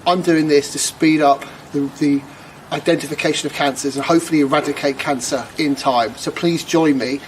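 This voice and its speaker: A man's voice: a little high, steady tone, fairly quick, poor audio. A man making a personal charity fundraising appeal to camera. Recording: noticeable background ambient noise.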